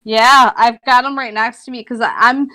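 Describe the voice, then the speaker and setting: A woman's voice: fairly high pitch, fairly even intonation, moderate pace, sharp tone. A woman in a casual online voice chat, rambling and joking in a mock-motivational way. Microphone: online voice call.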